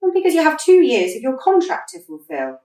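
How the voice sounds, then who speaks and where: A woman actor plays a blunt female football manager in a radio play. Her pitch is fairly high and swings widely as she scolds with exasperation. She sounds a little away from the microphone, in a roomy space. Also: wildly swooping pitch, mellow, distant mic. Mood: firm, persuasive. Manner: emphatic, scolding, deliberate. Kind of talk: radio drama acting.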